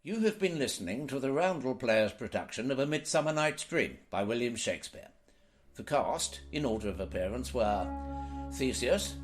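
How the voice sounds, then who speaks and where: A man announcing scripted closing credits for a radio play. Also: mid-range voice. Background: music.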